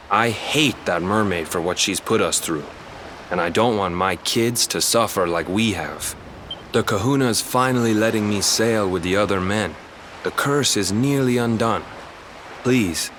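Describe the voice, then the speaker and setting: A man's voice: on the low side, background noise, lightly acted. An actor playing a young village fisherman in a video game, defensive and weary, with a blunt, guarded way of talking. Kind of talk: video game cutscene acting.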